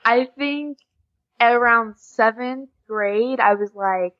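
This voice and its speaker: A woman's voice: fairly high pitch, slow pace. Young American woman, dull lo-fi sound. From USA, small town in Oklahoma. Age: teens. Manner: informal, frequent "like" as filler. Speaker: interview guest, exchange student. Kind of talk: casual chat.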